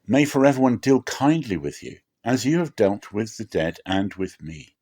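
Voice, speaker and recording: A man's voice: lowish voice, big pitch swings, normal speed, darkish tone. A man voicing a grieving, bitter old widow who speaks in a plaintive, emphatic way. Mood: tender blessing. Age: older. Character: grieving, bitter old widow Naomi, pleading with her daughters-in-law.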